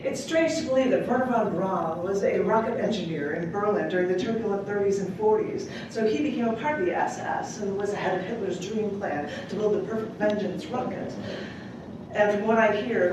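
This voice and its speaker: Echoey, distant woman reading poetry aloud. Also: mid-range voice. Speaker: poet reading at an open mic. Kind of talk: live spoken-word poetry reading with casual asides. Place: public library room at a live event. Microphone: distant microphone. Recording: echoey room from far off, clearly noisy.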